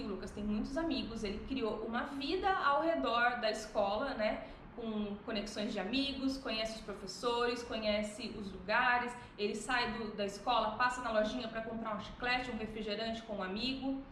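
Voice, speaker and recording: A woman's voice: a little high, a bit bright, roomy sound, a little hiss or hum, low-quality recording. A Brazilian woman in her thirties, a mother telling her family's story in a casual vlog, reflective, with frequent tag questions. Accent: Brazilian Portuguese. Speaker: vlogger, mother.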